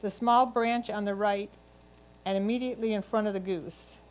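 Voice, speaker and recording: A woman's voice: mid-range voice, fairly even intonation, normal speed, low-quality recording. A woman reading written photo critiques aloud at a club competition. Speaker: reader of judges' comments.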